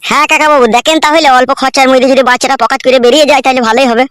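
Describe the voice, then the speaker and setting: A woman's voice: high voice, steady tone, racing, a bit bright, clean tone, dry recording. A woman voicing a meek, gullible young village man in a comic cartoon, pleading and deferential. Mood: puzzled, agreeable. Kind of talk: amateur comic cartoon dialogue.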